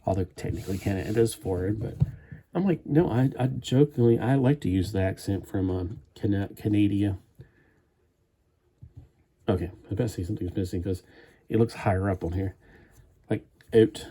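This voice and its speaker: A man's voice: lowish voice, normal speed, pauses often, warm tone, husky. A man chatting at home as he builds a toy kit live, meandering and thinking aloud with frequent restarts. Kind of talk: rambling live-stream chat while building.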